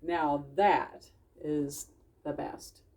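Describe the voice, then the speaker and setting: Woman as a wise, slow-talking storybook fox. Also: low voice, fairly even intonation, mellow, distant mic, voice pushed down. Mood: kindly. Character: female red fox, wise, gently admonishing friend.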